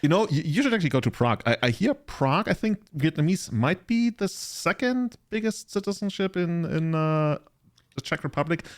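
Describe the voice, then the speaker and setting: A man's voice: a little high, animated, moderate pace. A man hosting a podcast, chatting casually in a talkative, bantering way. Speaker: podcast host. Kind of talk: casual chat.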